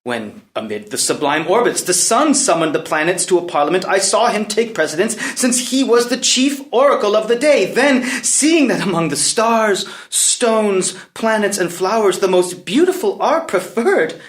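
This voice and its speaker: A man's voice: sits high, sing-song, normal speed, few pauses. A man playing a proud, impetuous young prince in a dramatic play reading, declaiming emphatically and floridly. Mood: enraptured, wooing.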